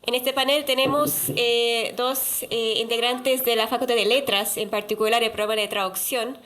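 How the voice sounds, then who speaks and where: A woman moderating a university panel in an auditorium, halting and full of filler sounds. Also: fairly high pitch, fairly even intonation, fairly bright tone.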